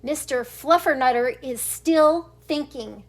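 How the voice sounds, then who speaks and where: A woman's voice: sits high, slow. A woman voicing a strict Siamese cat mother from a storybook, scolding and lecturing with emphasis. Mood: firm.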